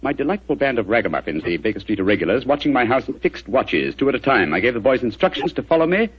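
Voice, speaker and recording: An actor playing a composed, clever, courteous English detective with crisp, formal speech in a 1940s radio drama. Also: male voice, medium pitch, sing-song, fast talker. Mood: brisk. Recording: dull, noisy 1940s radio recording.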